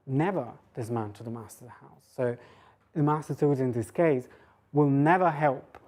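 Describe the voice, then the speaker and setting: A woman's voice: very deep voice, dark tone. A woman giving an academic talk, rambling and self-deprecating as she thinks aloud. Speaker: lecturer and speaker. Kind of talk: academic talk with audience questions.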